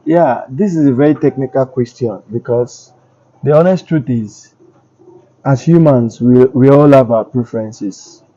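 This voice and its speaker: A man's voice: mid-range voice, measured pace, dark tone. Nigerian man explaining things frankly. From Lagos, Nigeria. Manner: frank and explanatory, repeats phrases for emphasis. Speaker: interviewee. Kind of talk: casual street interview. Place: outdoors on a street. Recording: dull lo-fi audio.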